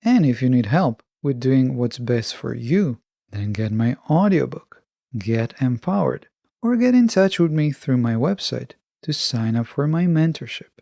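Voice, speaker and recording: A man hosting a podcast, delivering a scripted solo monologue in a measured, instructional way, speaking straight to listeners. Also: medium pitch, sing-song, creaky, warm tone, no echo, studio quality. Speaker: podcast host.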